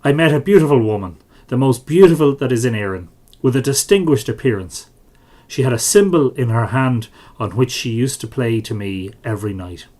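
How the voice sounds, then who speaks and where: A man's voice: medium pitch, expressive, brisk, a little mellow, close to an everyday voice. A man with an Irish accent reading the words of an earnest, lovesick young man from a storybook legend, in formal speech. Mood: wistful.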